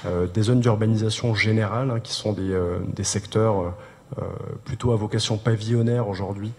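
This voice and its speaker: A man giving an explanatory, technical public presentation, speaking haltingly in short bursts. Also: lowish voice, steady tone, a little mellow. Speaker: urban planning presenter. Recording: reverberant hall sound.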